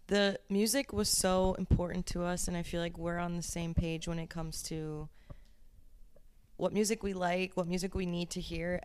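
Young woman co-hosting a podcast, kinda low voice, chill, keeps chiming in with corrections. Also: clean tone. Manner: relaxed, adds short comments and corrections. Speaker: podcast co-host. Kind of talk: casual chat.

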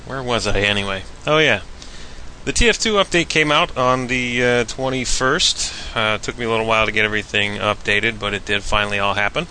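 Male correspondent, lowish and very sharp-edged, casual update delivery with some background noise. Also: a little fast. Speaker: correspondent giving a server update. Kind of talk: casual recorded comedy update. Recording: noisy room hum behind voice.

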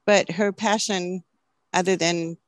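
A woman from Chile in her fifties, a flower farmer telling her story as an interview guest, chatty and quick to laugh. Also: lowish voice, fairly even intonation, dry recording. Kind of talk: casual interview.

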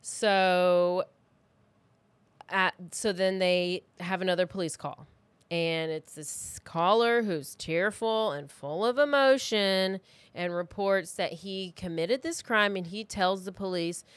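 A woman podcast co-host telling a true crime story in an informal, conversational way, with halting asides. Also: mid-range voice, no room sound.

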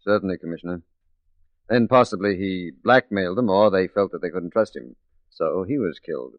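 An actor playing a resolute, righteous detective in a 1940s radio drama, speaking in a measured, emphatic, theatrical way. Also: male voice, deep voice, animated, no room sound. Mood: resolute, grave. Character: resolute, righteous male detective working undercover as a secretary. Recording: muffled 1940s radio recording.

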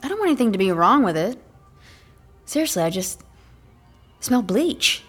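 A woman's voice: fairly high pitch, sing-song, normal speed, breathy edge, soft background music. An actress playing a skeptical, dry-witted young woman in an audio drama, blunt and questioning. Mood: uneasy. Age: young adult. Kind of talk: modern audio drama acting.

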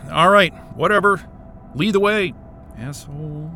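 A man's voice: on the high side, unhurried, pushed and bright, a little hiss or hum. A man playing a weary, foul-mouthed astrophysicist in an audio drama, with a sarcastic, grumbling manner. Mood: sarcastic, resentful. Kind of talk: naturalistic audio drama acting.

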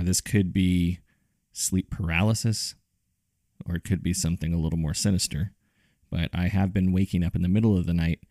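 A man's voice: deep voice, dark tone, no room sound, clean studio sound. A man hosting a podcast, reading scripted narration straight to the listener. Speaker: podcast producer and host.

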